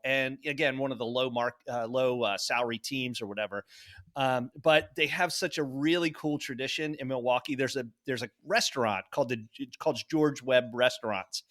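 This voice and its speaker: A man with a bright, forceful voice and a medium pitch co-hosts a podcast. He tells stories casually, rambling along and doubling back on his words as he goes. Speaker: podcast co-host. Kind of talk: casual banter and storytelling.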